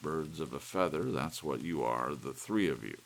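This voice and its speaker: A man's voice: a bass voice, animated, normal speed, vocal fry, full character voice. A man voicing a bitter, menacing husband in an audiobook, demanding and taunting, with rain and hiss behind him. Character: bitter, menacing husband talking angrily to his clock.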